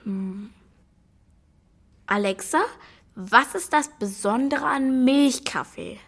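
Young girl for children's radio drama: fairly high, slow delivery, hesitant, subdued, full of questions. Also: full character voice. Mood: hesitant, curious. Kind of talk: children's radio play dialogue.

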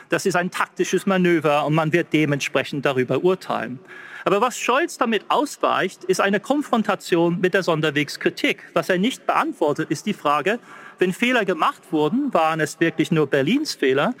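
A man with an English accent, a native English speaker, delivering a formal prepared lecture in a measured academic manner to a live audience. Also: high-pitched, fairly bright tone.

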